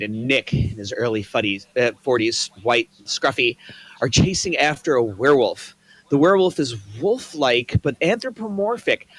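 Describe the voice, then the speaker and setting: A man's voice: medium pitch, fairly bright tone, no room sound, low-quality recording. A man reading stage directions in a script table read, matter-of-fact with a few stumbles.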